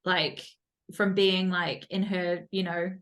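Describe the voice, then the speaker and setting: A woman's voice: on the low side, flat delivery, slow, a bit bright. Chatty woman podcast host. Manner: chatty, laughs often, many "like" fillers. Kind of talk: casual interview chat.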